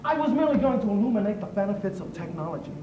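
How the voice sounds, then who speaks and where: A man's voice: racing, muffled recording. A man playing a slick door-to-door salesman. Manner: slick, flattering sales pitch. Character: smooth-talking male door-to-door salesman. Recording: echoey, distant, music behind.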